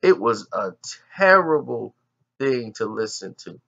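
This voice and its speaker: A man's voice: medium pitch, big pitch swings, measured pace, gravelly, fairly bright tone, muffled recording. A man giving indignant, emphatic commentary on an interview clip. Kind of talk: reaction commentary.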